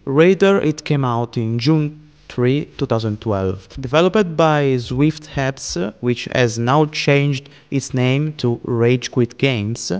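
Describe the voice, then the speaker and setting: A man from Italy with an Italian accent, reading a scripted video narration at a quick pace. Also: medium pitch, a little mellow, smooth. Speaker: YouTube narrator.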